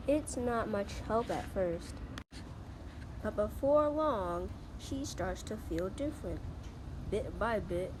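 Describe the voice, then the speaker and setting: A female student reading a children's story aloud with deliberate, expressive phrasing. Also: medium pitch, very noisy. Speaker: student reader.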